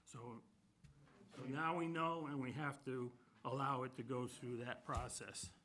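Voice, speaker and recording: A man's voice: mid-range voice, a little slow, pushed and bright. A man explaining things informally and matter-of-factly as a commission member at a public meeting. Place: indoor municipal meeting room. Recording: noisy town meeting room.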